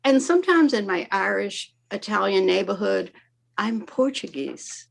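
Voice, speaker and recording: An older woman from the American South reads her poetry in a wry, conversational way, tossing playful asides to her listeners. Her voice has a gravelly, creaky edge, and she takes her time, delivering lines slowly and deliberately. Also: medium pitch. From Southern United States. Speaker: poet reading her work. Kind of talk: spoken word poetry reading.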